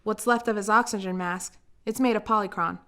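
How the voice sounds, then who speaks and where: Radio drama announcer, female actor: crisp, factual, sharp bright voice. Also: medium pitch, fairly even intonation, a little fast, natural voice. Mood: matter-of-fact.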